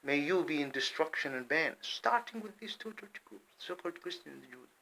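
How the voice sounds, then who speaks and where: Man, fiery monologue to camera, repeats himself, jumps from loud bursts to low mutters, bright and tense tone. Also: expressive, normal speed, creaky, poor audio. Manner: heated, repetitive, swings between loud outbursts and low muttering.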